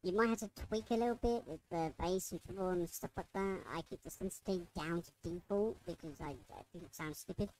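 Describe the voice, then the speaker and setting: A man casually demonstrating software as a tutorial presenter, his voice altered by a pitch-raising voice changer. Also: quick pace, hardly any pauses. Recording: noisy, processed voice-changer output.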